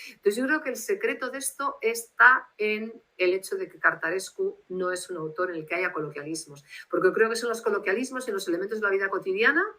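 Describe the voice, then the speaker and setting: A woman's voice: mid-range voice, a little fast, pushed and bright. A woman from Bilbao with a Basque Spanish accent, speaking as a guest translator on a video call in a reflective, rambling way. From Spain, Bilbao.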